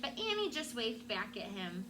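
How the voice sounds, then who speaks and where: A woman teacher doing an expressive storybook read-aloud for her class. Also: fairly high pitch, normal speed. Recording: clear background room noise.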